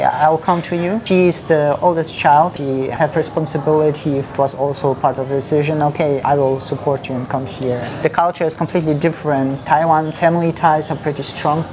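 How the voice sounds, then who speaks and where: A middle-aged man from Germany with a German accent, answering interview questions about his life in plain, slightly halting non-native English. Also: on the high side, steady tone, background noise. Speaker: interview guest, software engineer. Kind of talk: personal interview, telling own story.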